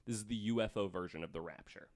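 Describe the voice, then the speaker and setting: A man with a fairly low voice hosts a podcast. He tells researched stories in a casual way, explaining as he goes and pausing between passages he reads from notes. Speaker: podcast host.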